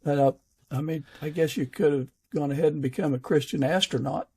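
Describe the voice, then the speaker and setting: A man with a slightly high, creaky voice and a husky edge. He works as a podcast interviewer, conversational and halting, thinking aloud as he goes. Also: dark tone.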